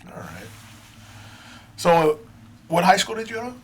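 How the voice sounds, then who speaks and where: A man hosting a podcast interview, asking relaxed, teasing questions. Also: sits high, unhurried, breathy edge. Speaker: podcast host.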